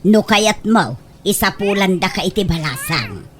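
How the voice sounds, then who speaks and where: A woman's voice: medium pitch, sing-song, measured pace, fairly bright tone. A woman with an Ilocano accent acting as a frank, bossy eldest sister in a comedy radio drama. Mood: scolding, advising. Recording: faint background noise and ambience.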